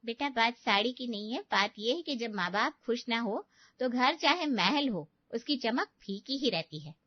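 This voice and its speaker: A woman's voice: a little high, expressive, normal speed, no room sound, highs cut off. A woman playing an outspoken older family friend in a story, frank and moralizing. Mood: reproachful.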